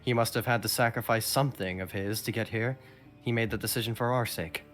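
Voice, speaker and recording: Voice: medium pitch, fairly even intonation, fairly quick, a bit bright, faint music behind. An amateur voice actor reading game dub lines among friends, with a jokey manner and casual asides. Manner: jokey, laughs through lines. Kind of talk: fan dub line reading mixed with casual joking.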